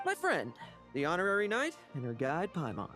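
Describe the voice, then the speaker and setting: Sly, high-voiced young knight, very animated. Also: male voice, normal speed, faint music behind, heavily acted. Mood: breezy, boastful. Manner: playful, theatrical, sly. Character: smug, mischievous young male knight captain with ruthless streak. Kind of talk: video game voice acting.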